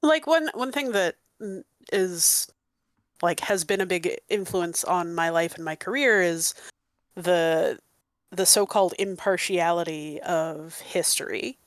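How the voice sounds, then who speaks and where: A woman who teaches history, talking in a casual panel discussion, animated and full of filler words. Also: on the low side, a little slow, no room sound, clean studio sound. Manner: animated, lots of "like" and restarts.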